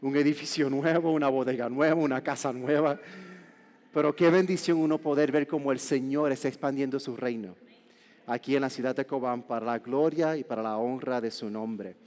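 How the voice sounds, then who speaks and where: A Puerto Rican man in his forties, a pastor preaching a sermon with joking asides and halting restarts. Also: medium pitch, darkish tone. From Puerto Rico. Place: large church hall. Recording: reverberant church hall.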